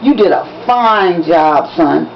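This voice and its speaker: A woman's voice: on the low side, lively intonation, takes it slowly, gravelly, full character voice. A woman voicing a proud male school principal making formal, declamatory announcements for an audiobook-style reading. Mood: warm approval. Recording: dull lo-fi recording with background noise.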